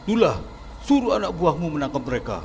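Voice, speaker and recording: An actor playing an arrogant, greedy middle-aged village head who barks orders scornfully. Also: male voice, on the high side, big pitch swings, fast, gravelly, a little mellow. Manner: bossy, scornful, barking orders. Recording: background noise and ambience.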